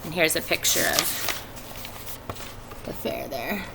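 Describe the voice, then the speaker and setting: A woman in her thirties, a teacher reading a storybook aloud to pupils, expressive and clearly phrased. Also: sits low, slow, heavy background noise. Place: indoors at home by a fireplace.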